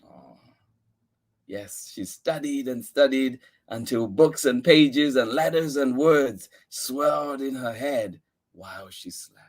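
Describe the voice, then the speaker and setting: Male story-time host, unhurried delivery, reading a picture book with dramatic, expressive emphasis. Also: mid-range voice. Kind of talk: expressive read-aloud storytelling.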